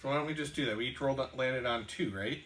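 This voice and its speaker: A man hosting a casual board game show, explaining the rules in a halting way with many ums and restarts. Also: medium pitch, a bit bright, echoey room.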